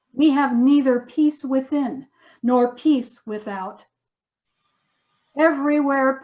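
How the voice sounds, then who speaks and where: A woman reading a speech aloud in a measured, solemn theatrical manner. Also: sits high, low-quality recording. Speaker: amateur stage reader.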